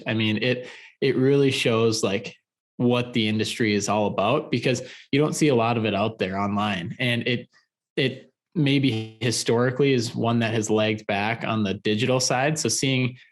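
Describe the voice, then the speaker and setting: A man's voice: lowish voice, moderate pace. A man hosting a construction podcast, enthusiastic and conversational, often repeating words as he starts his sentences. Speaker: podcast host. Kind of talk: upbeat interview hosting.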